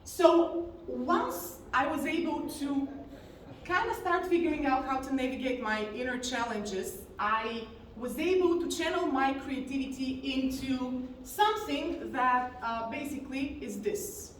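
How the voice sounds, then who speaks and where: A woman, giving an earnest, confessional talk from a conference stage and slowing down on key words. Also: high voice. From Bulgaria. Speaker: conference speaker. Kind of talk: personal story talk on stage. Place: large conference hall stage. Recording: very echoey hall, distant mic, faint noise.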